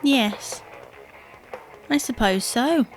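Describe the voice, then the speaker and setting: A woman's voice: fairly high pitch, extremely slow, faint music behind, poor audio. A woman playing a dry, sardonic, patient but unimpressed housewife in an audio sitcom. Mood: questioning.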